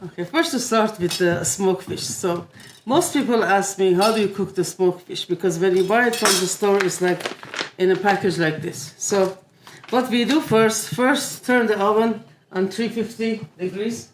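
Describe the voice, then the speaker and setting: A woman from Iran demonstrating a family recipe in her kitchen, explaining each step with frequent pauses and filler sounds. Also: medium pitch, a little hiss or hum. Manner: unhurried, many filler "uh"s. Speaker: home cook. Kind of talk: cooking demonstration. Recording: echoey room.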